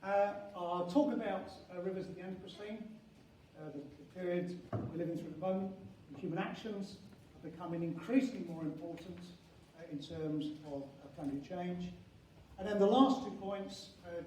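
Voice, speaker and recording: High-voiced older male lecturer, very echoey hall. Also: mellow. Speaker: university professor and head of geography school. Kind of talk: academic conference lecture with slides. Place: large echoing conference venue indoors. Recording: very echoey hall, distant mic, faint noise.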